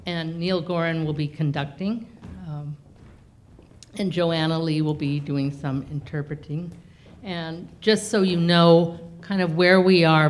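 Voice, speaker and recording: A woman's voice: low-pitched, a little mellow. A woman hosting an event, giving unscripted introductions. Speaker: event host.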